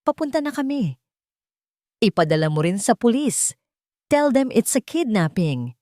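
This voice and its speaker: Commanding, anguished CEO hero, urgent and raised in pitch played by a female narrator, audio drama. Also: very animated, a little slow, a little mellow, dry recording, clean studio sound. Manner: urgent, barking orders. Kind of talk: dramatic audio story acting.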